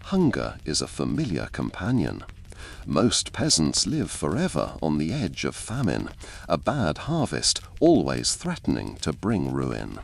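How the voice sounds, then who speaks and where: A man reading a scripted second-person ASMR storytime narration in a measured, dramatic, deliberate way. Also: extremely low pitch, huge pitch swings, creaky.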